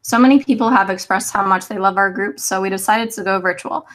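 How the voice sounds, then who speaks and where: A woman's voice: medium pitch, brisk, a bit bright. A woman in her mid-twenties co-hosts a casual live chat. Her voice sits in a middle range, and she speaks in an easygoing way with a few ums. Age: 20s. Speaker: co-host and adult advisor.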